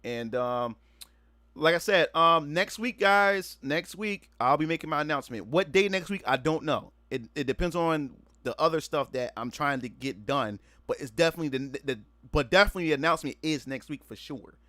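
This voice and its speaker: A man's voice: on the high side, normal speed, bright, forceful tone, no echo. A man streaming live in a casual, rambling chat, often restarting and repeating words as he talks straight to his viewers. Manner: laid-back, addresses viewers directly.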